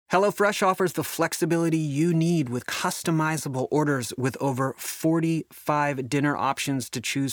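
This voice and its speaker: A man's voice: medium pitch, no room sound, clean studio sound. A man reading a scripted podcast advertisement in a conversational style. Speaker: advertisement reader.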